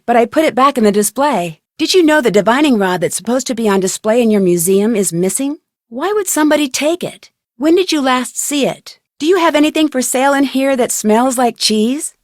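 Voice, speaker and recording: An actress playing a polite, inquisitive young woman detective in a video game, speaking in a clear, friendly, conversational way. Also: on the high side, no room sound, clean studio sound, lightly acted. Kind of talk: video game dialogue acting, isolated conversational lines.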